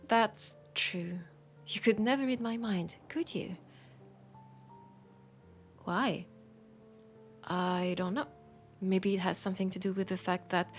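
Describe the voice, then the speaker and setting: A woman with a French accent playing a teasing, curious bookshop keeper in an intimate audio roleplay, speaking softly and musingly and often slipping toward a whisper. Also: medium pitch. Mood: teasing, wry. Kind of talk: intimate audio roleplay, soft acted monologue.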